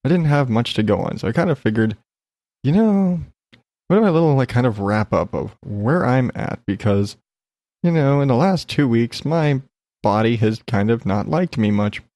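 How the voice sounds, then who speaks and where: A man's voice: medium pitch, animated, moderate pace, mellow, dry recording. A man hosting a solo podcast, chatting in a rambling, frank, sweary way, slightly congested as he gets over an illness. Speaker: podcast host. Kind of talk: casual solo chat, rambling personal update.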